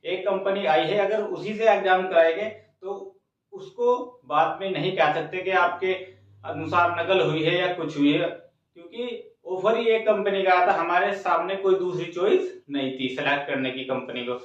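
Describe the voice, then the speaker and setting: A man with a high voice gives an informal news update as a channel host. He explains point by point, repeating himself and addressing viewers directly. The recording is heavily echoey and sounds far from the microphone. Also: moderate pace. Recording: very echoey room, distant mic, dull sound.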